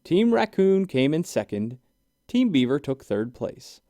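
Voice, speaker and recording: Man voicing a storybook animal judge, kindly, measured announcements, animated pitch, mellow. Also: dry recording. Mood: pleased, announcing.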